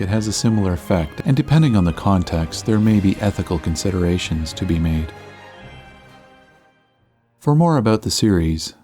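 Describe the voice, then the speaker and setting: A man calmly reading a scripted closing narration as a podcast host. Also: low voice. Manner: calm, measured, informative.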